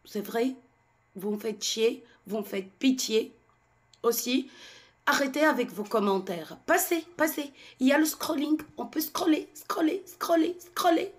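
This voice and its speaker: Slow, indignant Tunisian woman. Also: high voice, expressive. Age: 40s. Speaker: French teacher. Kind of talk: ranting to camera.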